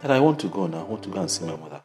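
A man playing a devoted, earnest grown son in a melodrama, speaking in halting, repetitive phrases. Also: sits low, big pitch swings, a little mellow, soft background music. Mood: hesitant, pleading. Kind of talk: melodramatic screen acting. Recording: clear background noise, room ambience.

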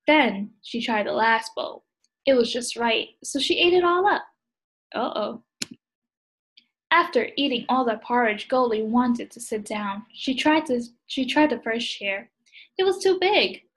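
A young woman reads a children's story aloud in a fairly high voice with a husky edge. She speaks as a preschool teacher to little listeners, on a laptop mic in a room at home. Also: poor audio. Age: young adult. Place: small indoor room at home. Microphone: laptop video call.

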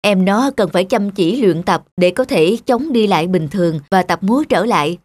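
A woman voicing a kind, grateful mother of a schoolgirl in an animated cartoon. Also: medium pitch, normal speed, dry recording, voice pushed down, close to an everyday voice. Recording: music behind the voice.

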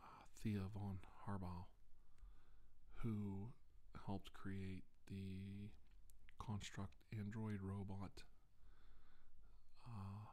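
Slow, halting low-voiced man playing a shaken witness. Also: little pitch movement, mellow. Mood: grave, deliberate. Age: middle-aged. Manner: halting, hesitant, subdued, deliberate. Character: shaken middle-aged male doctor, alien abduction witness, solemn. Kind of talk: slow ASMR roleplay deposition. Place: indoors, seated at a desk.

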